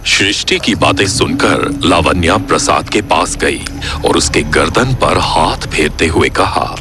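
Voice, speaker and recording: A man's voice: low-pitched, lively intonation, a little slow, gravelly. A man narrating a scripted horror story in a dramatic style. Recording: loud music bed under voice. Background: loud background music.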